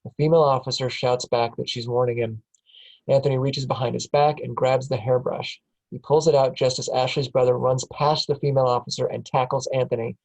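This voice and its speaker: A man's voice: mid-range voice, level tone, a little fast, creaky, a little mellow, rough recording. Matter-of-fact man reading stage directions. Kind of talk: scripted narration at a table read.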